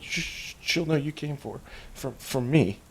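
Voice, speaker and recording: A man's voice: fairly high pitch, measured pace, husky, voice pushed up, rough recording. A man voicing a frightened young girl in a tabletop game, shaky, tearful and pleading. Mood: timid, pleading. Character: frightened crying young girl searching for her mama. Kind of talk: tabletop role-play.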